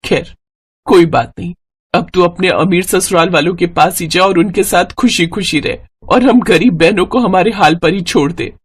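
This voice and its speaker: A woman's voice: lowish voice, normal speed, slightly rough. A woman voicing a proud, hurt elder sister who speaks with bitter reproach in a moral-story cartoon.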